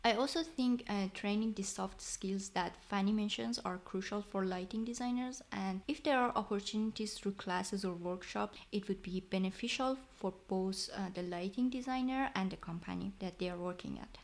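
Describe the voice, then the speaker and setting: A woman's voice: medium pitch, moderate pace, hardly any pauses. A woman co-host from Iran, a non-native English speaker, narrating and adding earnest personal comments. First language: not English. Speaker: podcast co-host.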